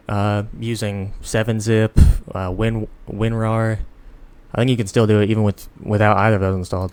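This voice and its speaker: A tired man hosts an off-the-cuff software tutorial. His voice sits fairly low and sounds clear and smooth. He rambles in a casual, self-deprecating way, sounding sleepy as he explains. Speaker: tutorial host.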